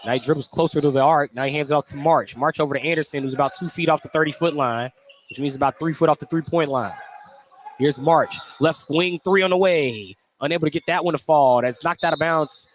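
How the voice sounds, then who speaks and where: A man with a slightly high voice calls a basketball game as play-by-play announcer. His live commentary follows the action, with light gym noise and bouncing balls behind him. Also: brisk. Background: basketball gym ambience, bouncing balls.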